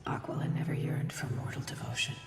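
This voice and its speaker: A performer voicing a solemn otherworldly divine being in a game cutscene, with breathy, portentous recitation. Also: fast talker, in a whisper, lightly acted. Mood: solemn, grave. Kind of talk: scripted game cutscene narration. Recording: cavernous distant echo, music behind.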